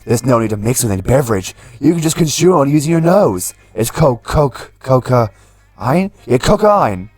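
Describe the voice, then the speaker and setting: A man voicing a young, dim, earnest werewolf jock from a video game, excitable and full of bro talk. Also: mid-range voice, normal speed, husky, no room sound, faint music behind. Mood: eager, excited. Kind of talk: video game character voice read aloud.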